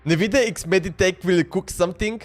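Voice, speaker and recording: A man's voice: high voice, fairly quick. A man streaming a game with casual, playful live commentary, often repeating his phrases.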